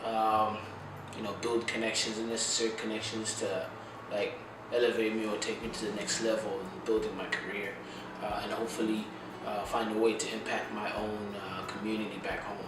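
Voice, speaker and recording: A young man from Zimbabwe answers interview questions thoughtfully, pausing often on fillers. His fairly low voice sounds distant in an echoey room. Steady noise hums behind him. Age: young adult.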